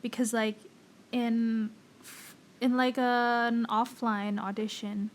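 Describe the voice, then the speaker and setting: A woman's voice: fairly high pitch, flat delivery, slow pace. A young woman co-hosting a casual podcast chat, her voice a bit worn from singing, who says "like" a lot. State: losing her voice. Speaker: podcast co-host.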